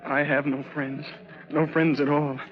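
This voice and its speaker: Guy acting a whiny bullied young sailor, high voice, stammers and pleads, muffled old radio play. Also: little pitch movement, unhurried, natural voice. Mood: bitter, whining. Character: timid, miserable young sailor, captain's bullied son. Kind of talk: 1940s radio drama acting. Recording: dull, lo-fi 1940s radio recording.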